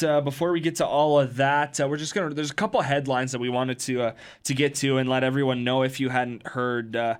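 Man, 20s, casual podcast co-host, relaxed and filler-heavy. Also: mid-range voice, smooth. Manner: relaxed, many fillers, laughs easily. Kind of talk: casual sports podcast chat.

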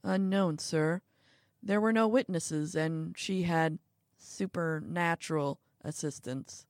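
A woman's voice: on the low side, slow pace, a little mellow, dry recording, clean studio sound. Woman reading a cautious, deferential male henchman. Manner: cautious, placating, formal.

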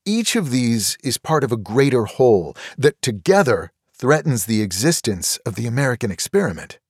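A man reads a scripted political memoir as an audiobook narrator. His pitch is mid-range and moves expressively across each sentence. The phrasing is deliberate and earnest, and the sound is dry, with no room around the voice. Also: very animated, breathy edge, dry recording.

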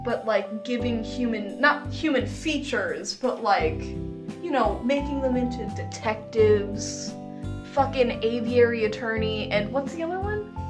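Animated, high-voiced woman chatting casually. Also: unhurried, over music. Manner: giggly, sweary, animated. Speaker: podcast co-host. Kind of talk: casual comedic chat.

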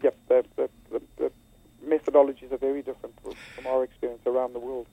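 A man's voice: mid-range voice, little pitch movement, fast talker, gravelly, no room sound. A man with a South African accent, a school leader talking earnestly in a radio interview, often restarting and repeating words. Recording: tinny phone line.

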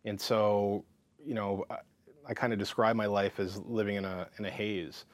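A man in his thirties tells his story as an interview guest, talking in a frank, lively way. His voice sits low, and the sound is dry and close. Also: normal speed, dry recording. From Canada. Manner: frank and lively, full of fillers and restarts. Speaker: counselor and psychotherapist, interview guest.

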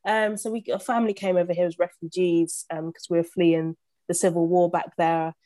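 Chatty woman, bright laughs. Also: lowish voice, a little fast, no room sound. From born in Uganda, raised in Southeast London. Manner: animated and chatty, laughs often, many restarts. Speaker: podcast guest, property investor. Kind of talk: casual interview chat.

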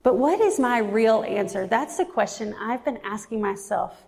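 A woman in her forties preaching a sermon, earnest and reflective, slowing down to stress key words. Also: on the high side, animated, creaky, a little mellow. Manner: earnest, reflective, slows down for emphasis. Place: church. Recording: reverberant church hall.

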